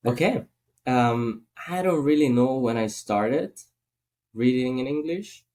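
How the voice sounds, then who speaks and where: A man's voice: mid-range voice, animated, takes it slowly, a little mellow. A man from Italy with an Italian accent, a guest in a casual podcast interview, speaking thoughtfully with many fillers.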